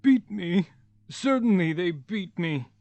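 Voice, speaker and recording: A man's voice: sits high, expressive, slow, a little mellow, dry recording, dull audio, voice pushed up, exaggerated voice. A man voicing an irritable, gloomy vagrant in a radio play, curt and complaining. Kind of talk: theatrical radio play acting.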